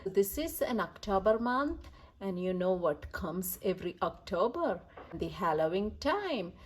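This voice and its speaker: A woman reading stories aloud for children as the host, animated and emphatic with repeated words. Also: medium pitch, measured pace, breathy edge. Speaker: children's story reader and host.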